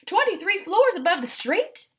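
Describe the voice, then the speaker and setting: A woman voicing a vain, fussy, excitable female pet cat in a prim, dramatic way for a children's storybook. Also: extremely high pitch, moderate pace, pitched above the performer's own voice, heavily acted. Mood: excited. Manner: prim, self-admiring, dramatic.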